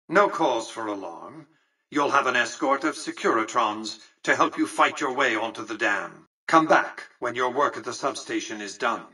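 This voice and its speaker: An imperious, elderly male industrialist from a video game. His husky voice comes through a radio-like filter, slightly removed from the mic. He speaks with measured, calculating formality and condescending authority, in a forceful, crisp-edged tone. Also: medium pitch, recorded from a distance, rough recording. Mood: brisk, commanding. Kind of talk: scripted video game character dialogue.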